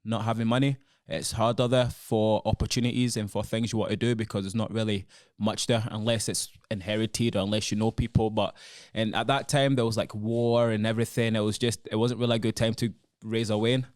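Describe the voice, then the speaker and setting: A man's voice: on the low side, little pitch movement, a little fast, darkish tone, no room sound, clean studio sound. A young man with a Glaswegian accent, a podcast guest talking in a frank, laid-back, casual way. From Scotland, born in Congo. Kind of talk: casual chat. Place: podcast studio.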